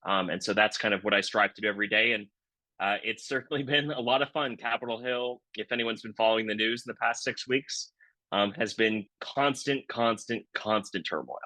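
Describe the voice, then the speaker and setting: A man's voice: on the low side, brisk, pushed and bright, no room sound, rough recording. A man talking reflectively and earnestly as a political reporter on a webinar panel.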